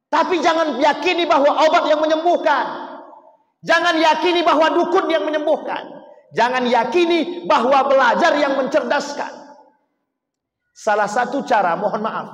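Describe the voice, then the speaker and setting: A man's voice: high voice, fairly bright tone. A man preaching a sermon to a congregation, emphatic and repetitive, pressing points with rhetorical questions. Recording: echoey hall with long reverb.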